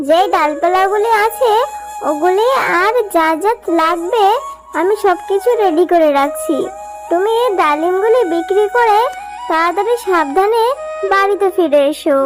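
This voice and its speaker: A sweet little girl fox cub from a children's cartoon, played by a woman. The voice is extremely high and childlike, earnest and pleading as it reassures. Clear music runs underneath. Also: a little slow. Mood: reassuring.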